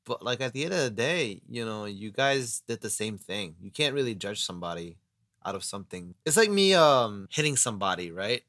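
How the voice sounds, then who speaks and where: A man with a bright, forceful voice talking through ideas in a loose, rambling way. The sound is dry and close, with no room around it. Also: on the low side, expressive, fairly quick, no room sound. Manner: earnest, rambling, lots of "you know". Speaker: podcast co-host and voice actor. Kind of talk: casual podcast chat.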